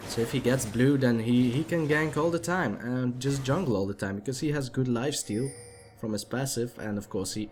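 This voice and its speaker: A man's voice: mid-range voice, slight background noise. Chatty man with a Dutch accent commentating. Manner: chatty, rambling, jumps into sudden excited outbursts. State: tipsy. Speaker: video game commentator. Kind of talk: casual live match commentary.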